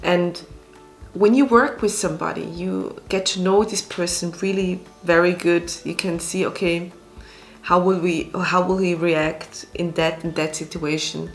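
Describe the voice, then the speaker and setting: Slow-talking woman from Switzerland. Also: fairly low pitch, fairly even intonation, creaky, faint music behind. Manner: speaks slowly, with many fillers and restarts. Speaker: restaurant owner and actress. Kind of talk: casual interview talk.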